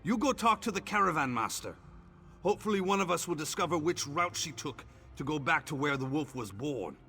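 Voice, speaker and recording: Guy acting as earnest blunt protective fantasy game ally, sharp lively voice, faint music. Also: on the high side, fairly quick. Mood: worried, earnest. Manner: forceful, blunt.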